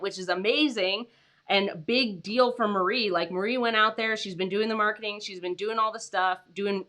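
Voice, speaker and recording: A young adult woman hosting a casual livestream, her voice raspy from tiredness, chatty with many "like"s and "um"s. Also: medium pitch, pushed and bright. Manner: chatty, lots of "like" and "um", laughs often. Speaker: host.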